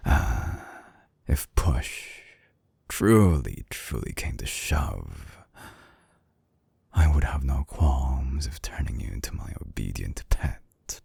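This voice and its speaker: An actor playing a suave, obsessive villain scientist in an intimate binaural audio drama, teasing and menacing, with a husky voice that slips into breathy whispers. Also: male voice, rumbling low, big pitch swings, a little mellow, dry recording, exaggerated voice. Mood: possessive, menacing. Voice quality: husky, gravelly. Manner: slow, theatrical, teasing, sliding into breathy whispers. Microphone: close binaural microphone.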